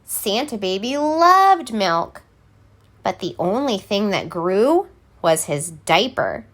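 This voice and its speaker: A woman reading a children's story aloud in an expressive, theatrical way, landing deliberately on key words. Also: mid-range voice. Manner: theatrical, deliberate, emphatic word by word. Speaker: storybook reader.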